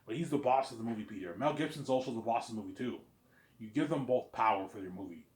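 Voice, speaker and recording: Young man, mid pitch, loose off-the-cuff monologue, circling back over his own phrases. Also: quick pace, a little mellow. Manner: repetitive, often restarting phrases. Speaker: speaker in a personal series about his planned film. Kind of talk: rambling casual monologue.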